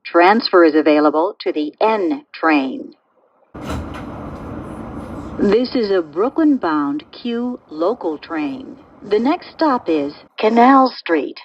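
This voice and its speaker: A woman making pre-recorded subway train announcements in a measured, formulaic way. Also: fairly low pitch, lively intonation, lo-fi sound, a little hiss or hum. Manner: measured, clearly phrased, formulaic delivery.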